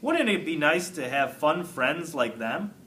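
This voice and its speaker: A man voicing an eager, friendly classroom hamster in a storybook, chatty and excitable. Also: fairly high pitch, a little slow, slight background noise, exaggerated voice. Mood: chatty, hopeful. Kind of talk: storybook character reading.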